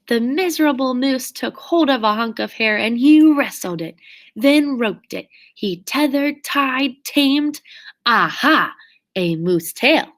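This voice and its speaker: A woman reading a children's story aloud expressively, with theatrical, drawn-out emphasis on key words. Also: fairly high pitch, a bit bright. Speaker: storybook reader.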